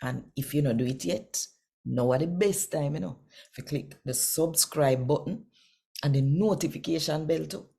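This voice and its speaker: A Jamaican woman speaking Patois as host of a devotional scripture reading, with deliberate, emphatic phrasing. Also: low voice, vocal fry, dark tone, breathy edge.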